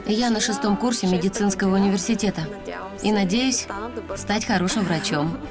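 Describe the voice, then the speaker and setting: A woman reads a voice-over translation in a clear, plain way. Her voice sits at an ordinary middle pitch for a woman. Music plays clearly behind her. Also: normal speed. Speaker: voice-over interpreter. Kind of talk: dubbed self-introductions, read evenly. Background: background music.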